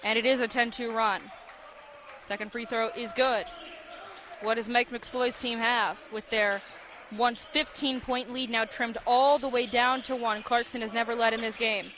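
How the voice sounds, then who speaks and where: A man with an extremely high, bright voice does live basketball radio play-by-play. He calls the action in clipped, descriptive phrases. Faint gym noise and bouncing balls sit behind him. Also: level tone, unhurried. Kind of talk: live sports radio commentary. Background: basketball game ambience, bouncing ball.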